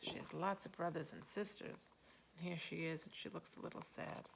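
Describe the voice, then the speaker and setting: A woman reading a picture book aloud to young children in an expressive storytelling manner. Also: deep voice, a little fast, vocal fry, noisy background.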